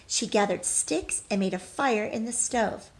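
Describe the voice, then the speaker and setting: A woman teacher reading a storybook aloud expressively, pausing to put questions to young listeners. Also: mid-range voice.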